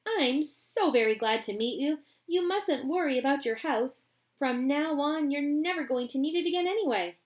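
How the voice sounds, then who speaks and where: A woman voicing an eccentric, excitable old man who makes candy, in a storybook reading. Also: high-pitched, voice pushed up. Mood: bossy. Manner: brisk, eager and bossy.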